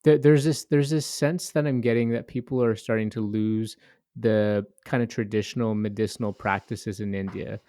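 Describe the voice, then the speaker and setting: A man's voice: lowish voice, fairly even intonation, normal speed, warm tone, dry recording, clean studio sound. A man hosting a podcast, speaking in an informal, conversational way. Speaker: podcast host. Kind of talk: podcast intro and casual interview chat.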